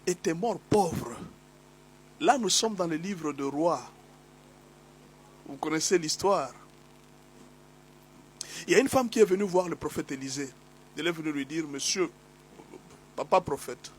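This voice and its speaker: A man with a somewhat high voice delivers a sermon while fighting a cold, his tone slightly hoarse. He drives his points home through repetition and rising exhortation. A faint hum sits under the recording. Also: rough recording. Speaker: pastor. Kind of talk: sermon teaching.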